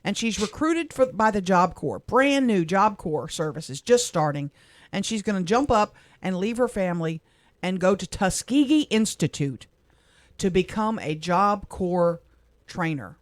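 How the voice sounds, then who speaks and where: A woman's voice: mid-range voice, expressive, a little slow, no room sound. Heartfelt middle-aged woman on radio. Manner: heartfelt, soft-spoken, emotional. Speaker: radio co-host. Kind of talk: reading a personal letter on air. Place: radio studio.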